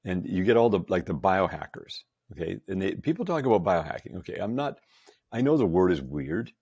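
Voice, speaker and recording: Gravelly deep-voiced man in his sixties. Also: big pitch swings, fairly quick, darkish tone, no echo. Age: 60s. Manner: enthusiastic, emphatic, laughs often. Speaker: podcast guest. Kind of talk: casual chat.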